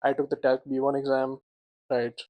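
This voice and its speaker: A young man co-hosting a casual podcast chat, animated, laughing often and filling his talk with "like" and "right?". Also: mid-range voice, moderate pace, no echo, lo-fi sound. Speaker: podcast co-host.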